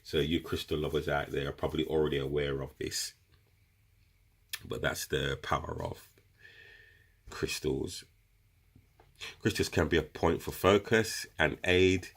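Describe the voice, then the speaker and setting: A man's voice: rumbling low, a bit bright. A man with a cold and a runny nose, chatting to viewers as he explains a meditation practice in a casual teaching style. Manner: chatty, addresses viewers as guys. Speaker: crystal seller explaining meditation. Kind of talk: casual instructional video talk.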